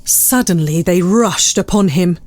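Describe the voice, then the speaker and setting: A woman narrating a classic story in a measured, literary storytelling manner. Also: on the low side, darkish tone, dry recording.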